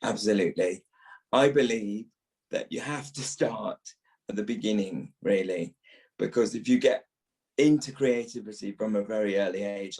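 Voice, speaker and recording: A man's voice: on the low side, a little mellow, low-quality recording. A man in his fifties speaking as an actor being interviewed, reflective, stressing points by repeating phrases. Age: 50s.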